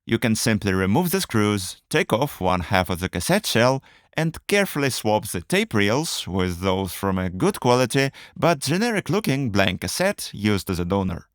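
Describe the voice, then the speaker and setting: A man with a fairly low voice narrates a scripted how-to video. His intonation is very varied and animated. He explains things methodically, step by step, as the host of the tutorial. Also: moderate pace, no room sound, clean studio sound.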